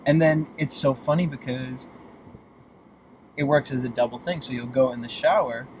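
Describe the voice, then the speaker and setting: A man in his late twenties from Boston chatting casually and candidly as a guest in a car, with emphatic, expressive delivery. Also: medium pitch, normal speed. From Boston, United States. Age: late 20s. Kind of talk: casual chat. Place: inside a moving car. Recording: clear vehicle road noise. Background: car driving noise.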